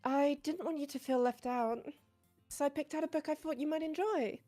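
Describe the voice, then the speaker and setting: A woman's voice: sits high, moderate pace, warm tone, faint music behind, lightly acted. A woman voicing a timid, bookish teenage girl who hesitates and stammers. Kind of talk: fan voice acting of a visual novel.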